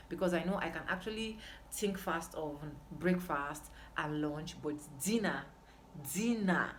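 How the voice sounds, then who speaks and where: Low-pitched Nigerian woman vlogger, noisy background. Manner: emphatic, repeats phrases for stress. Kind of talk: casual chat to camera.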